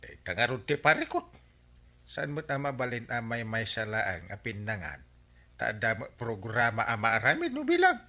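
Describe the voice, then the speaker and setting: A man's voice: medium pitch, wildly swooping pitch, normal speed, pushed and bright, exaggerated voice. A man with an Ilocano accent playing an eager, evasive husband in a radio comedy drama. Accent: Ilocano Filipino.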